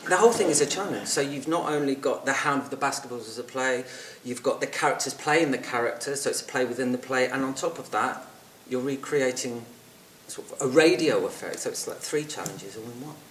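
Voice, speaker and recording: A man's voice: medium pitch, brisk, a little hiss or hum. A man from a theatre production team talking about the show in an interview. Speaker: member of the show's team. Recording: roomy, distant, loud music behind.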